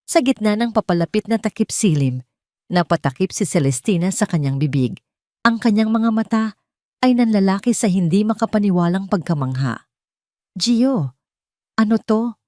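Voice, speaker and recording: A woman's voice: mid-range voice, animated, moderate pace, darkish tone, dry recording, clean studio sound. A woman reading scripted dramatic story narration.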